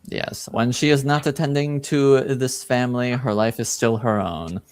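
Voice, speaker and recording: A man playing a reserved, coolly skeptical nobleman in a tabletop roleplaying game, speaking formally and deliberately. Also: mid-range voice, steady tone, clean tone, dry recording, close to an everyday voice. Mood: guarded, formal.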